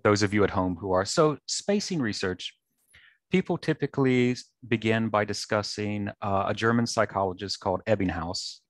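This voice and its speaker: A man in his thirties from North Carolina with a light Southern American accent, calmly explaining research as a guest on a video call, speaking through a headset with frequent ums. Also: lowish voice, dry recording. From North Carolina, USA. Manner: measured, lecturing, with frequent ums. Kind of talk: explanatory interview talk. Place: office with bookshelves and whiteboard.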